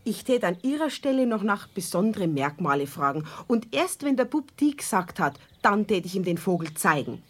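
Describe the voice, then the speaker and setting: A woman's voice: medium pitch, big pitch swings, brisk, hardly any pauses. An actress playing a chatty, well-meaning neighbour woman with a Bavarian accent and an insistent, apologetic manner in a children's radio play.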